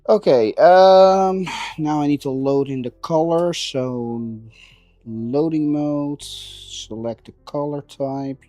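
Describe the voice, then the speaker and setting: A man chatting casually while working on a build, thinking aloud in a halting way and repeating words. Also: fairly high pitch, dark tone, dry recording, faint music behind. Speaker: maker livestreaming a 3D printing build.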